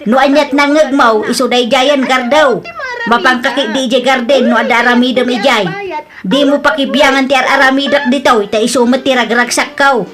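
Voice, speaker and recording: A woman's voice: high voice, steady tone, normal speed, crowd noise, natural voice. A woman acting an irritated, blunt neighbour owed money, with an Ilocano Filipino accent, in an audio drama. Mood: defiant, scornful. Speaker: radio-style drama actor. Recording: noisy background ambience.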